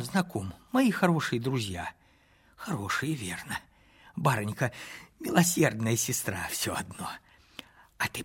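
A man voicing a humble, poor old male peasant in his sixties who drops to whispers and rises to high, excited outbursts in folksy rustic speech. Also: slightly rough, full character voice. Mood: secretive whisper. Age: 60s.

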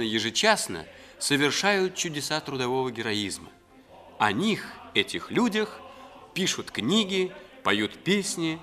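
A man's voice: a little high, sharp tone. Solemn declaiming male narrator. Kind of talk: solemn scripted documentary narration.

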